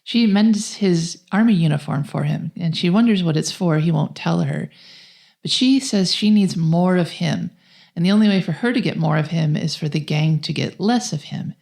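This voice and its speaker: A woman talks through a film as a podcast co-host. Her voice sits very low for a woman, with a soft, mellow tone and plenty of vocal fry. The delivery is conversational. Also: expressive, moderate pace. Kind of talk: conversational film plot recap.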